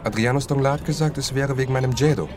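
A man's voice: medium pitch, fast talker, crowd noise, close to an everyday voice. An actor playing an easygoing, merry young Serbian man with a drowsy, amused manner in a radio crime play. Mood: matter-of-fact. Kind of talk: German radio crime drama acting. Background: music.

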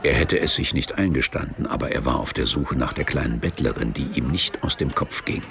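A male actor narrating a literary radio play in a measured, detached way, as a storyteller with dry irony. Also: rumbling low, creaky, a crowd in the background.

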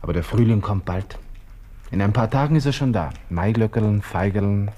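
Guy acting as a gloomy young viennese army officer muttering to himself, low dark voice, old hissy radio play. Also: moderate pace, lightly acted. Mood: wistful, bitter. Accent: Austrian, Viennese. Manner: musing to himself, murmured, wry. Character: melancholy, cynical young Austrian army lieutenant facing death. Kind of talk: 1960s radio play interior monologue.

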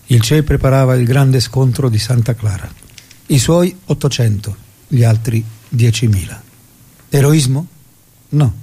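A man's voice: medium pitch, dark tone, breathy edge. A male actor as the solemn narrator of a war drama, giving scripted narration in spare phrases. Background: prominent guitar music.

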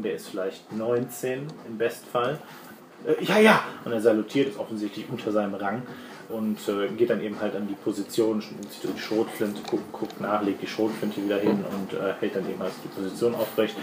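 A man's voice: lowish voice, level tone, brisk. A man improvising narration as game master of a tabletop role-playing game. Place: indoor room at a game table. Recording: echoey room with clear background noise.

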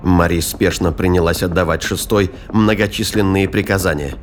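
Very deep male audio drama narrator. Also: steady tone, moderate pace, soft background music.

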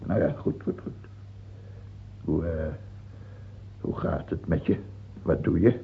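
A man's voice: sits low, slow, gravelly, husky, heavily acted. An actor playing a scheming, imperious aging French king in a radio play, theatrical, moving between murmured musing and scornful outbursts. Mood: grudging. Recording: dull, muffled old radio recording.

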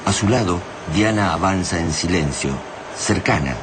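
A man's voice: sits low, moderate pace, very noisy, lightly acted. A man narrating a radio play about an apocalyptic war in a grave, dramatic style, as a scripted narrator. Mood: grave, suspenseful. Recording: loud music under the voice.

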